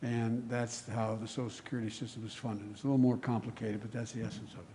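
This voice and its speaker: Rambling man with a mellow voice in a hall. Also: medium pitch, little pitch movement, fast talker, a little hiss or hum. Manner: rambling, restarts mid-sentence. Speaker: candidate at a town-hall roundtable. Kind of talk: off-the-cuff campaign answers.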